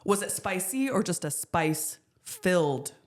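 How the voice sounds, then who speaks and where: A woman with a low alto voice hosts the show. Her pitch swings widely as she talks. She is playful and teasing with her guest. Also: expressive. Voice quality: lowish alto voice. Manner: playful, quick to laugh. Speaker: podcast host. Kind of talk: casual chat.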